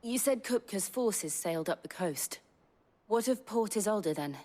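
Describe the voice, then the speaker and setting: An actress playing an earnest, concerned young woman companion in a fantasy video game, speaking seriously and with a soft edge. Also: medium pitch, expressive, measured pace. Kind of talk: dramatic game dialogue.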